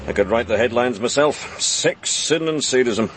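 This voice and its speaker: Actor as wry police chief, animated swooping pitch. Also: male voice, moderate pace, noisy background, natural voice. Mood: sardonic. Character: senior male police chief, wry, brisk, delegating. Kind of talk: radio crime drama acting.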